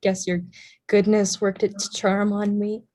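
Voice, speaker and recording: A woman's voice: mid-range voice, monotone, takes it slowly, mellow, lightly acted. A young woman in a staged play reading, playing a nosy, evasive girl with a flippant, deflecting manner. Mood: defensive, tender.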